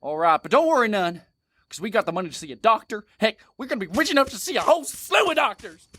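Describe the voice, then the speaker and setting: Man as a high, husky, excitable greedy bandit. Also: quick pace, full character voice. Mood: greedy excitement. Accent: rural American. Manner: excitable, folksy. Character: dim-witted greedy bandit, excitable, brash.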